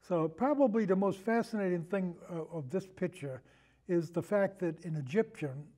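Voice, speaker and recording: Guy with a pretty high voice chatting about movies, rambles along, stops and starts, repeats himself. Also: warm tone. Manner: halting and rambling, often repeats phrases. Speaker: podcast guest, film discussion. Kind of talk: casual film discussion, anecdotal storytelling.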